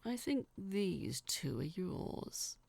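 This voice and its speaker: A woman acts out a huge storybook giant, male and mild-mannered. He speaks low and very slowly, with a gravelly edge. He sounds like a gentle, apologetic husband making casual conversation. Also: very animated, no echo, full character voice. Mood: casually apologetic.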